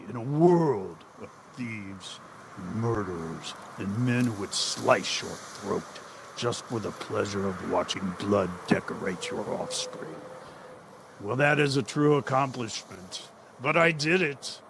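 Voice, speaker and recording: An actor playing a proud, cruel slave trader in an audio drama, vengeful and deliberate, with theatrical threats. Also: male voice, medium pitch, lively intonation, vocal fry, background noise. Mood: boastful, menacing. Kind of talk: audio drama villain acting.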